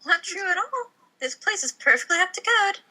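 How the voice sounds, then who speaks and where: A woman playing an earnest young pizzeria worker in a comedy table read, with an expressive delivery. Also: extremely high pitch, moderate pace, pitched above the performer's own voice, full character voice. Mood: defensive, distressed.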